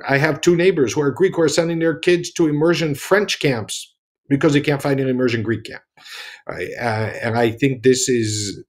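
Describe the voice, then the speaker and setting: A man's voice: mid-range voice, slightly rough. A man, speaking earnestly on an online panel from his home study over a video call, with many restarts. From United States.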